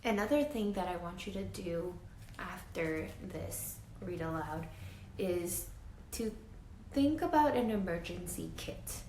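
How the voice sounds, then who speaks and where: A woman reading a picture book aloud to children as a teacher, dramatic and expressive, pausing to ask her listeners questions. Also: fairly low pitch. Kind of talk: animated children's read-aloud with asides to listeners. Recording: roomy echo with faint background noise.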